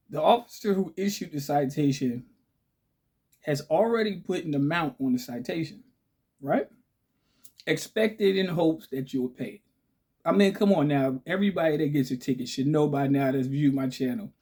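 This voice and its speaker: A man hosting his own channel in a casual, chatty way, with a raspy voice, often checking in with "okay?" and "right?". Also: a little high, darkish tone. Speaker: channel host. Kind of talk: casual direct-to-camera talk.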